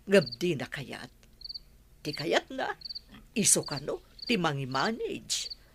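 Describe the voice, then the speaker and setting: Weary mother, low lively voice, noisy track. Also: takes it slowly, vocal fry, exaggerated voice. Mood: worried, frustrated. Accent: Filipino (Ilocano). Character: weary worried mother, older family business owner. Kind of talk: Ilocano radio drama acting. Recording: noisy track with clicks and insect sounds.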